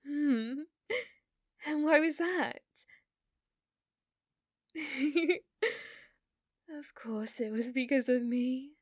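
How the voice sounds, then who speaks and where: A British woman playing an obsessive, manipulative, adoring girlfriend in an intimate ASMR roleplay, soft and breathy, often dropping into whispers. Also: fairly high pitch, dry recording, studio quality, exaggerated voice. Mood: excited, giddy. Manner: slow, coaxing, intimate.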